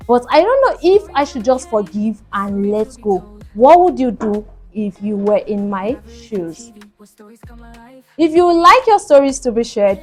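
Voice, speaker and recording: A woman hosting a story show, telling a first-person tale in an emphatic, dramatic way with repeated phrases. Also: a little high.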